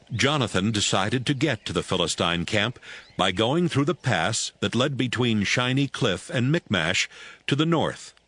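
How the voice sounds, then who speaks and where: A man narrating scripture from a script in a measured storytelling manner. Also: lowish voice, a bit bright, slightly rough.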